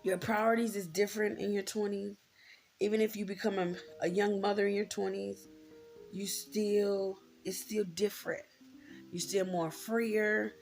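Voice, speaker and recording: Woman, thirties, American accent, gravelly fry, candid informal vlog talk, faint music behind. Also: mid-range voice, little pitch movement, unhurried, a little mellow. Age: 30s. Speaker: vlogger. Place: indoors, room with a closet.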